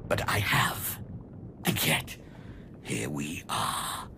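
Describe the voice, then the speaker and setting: An ancient dark emperor from a video game, coldly amused and pompous, in a very deep, gravelly voice. He draws out his mocking words slowly, over clear rumbling noise. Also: wildly swooping pitch, full character voice. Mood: smug, menacing. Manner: pompous, slow and deliberate, mocking. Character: ancient evil emperor's spirit, arrogant, coldly amused villain. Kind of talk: scripted video game villain acting.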